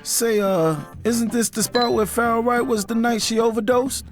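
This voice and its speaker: A man's voice: very high-pitched, fairly even intonation, moderate pace. A man playing a brooding man hunting for answers, narrating in the first person for an audio drama. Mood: probing. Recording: music behind the voice.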